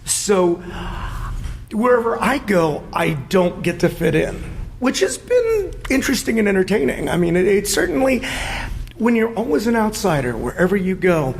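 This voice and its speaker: A man in an animated panel conversation, speaking as a guest in an emphatic, declarative and joking way. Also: high-pitched, moderate pace, creaky, slight background noise. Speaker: interview guest.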